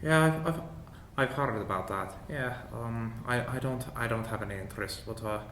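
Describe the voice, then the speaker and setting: Polite, hesitant dwarf traveller and inventor, played by a man, halting delivery, tabletop game, echoey room. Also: a bit bright, close to an everyday voice. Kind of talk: in-character tabletop roleplay. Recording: roomy echo, low rumbling background noise.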